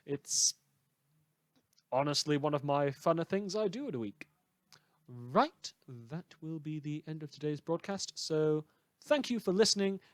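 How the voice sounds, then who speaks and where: A man's voice: on the high side, animated, unhurried, no echo. A man hosting a podcast, informally reading out credits and thanks in a chatty, self-deprecating way. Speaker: podcast host and writer. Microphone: voice recorder.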